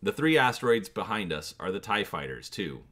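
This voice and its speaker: A man voicing a roguish young male smuggler pilot, speaking in quick, wry, clipped remarks. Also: lowish voice, sing-song, fairly bright tone. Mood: alarmed.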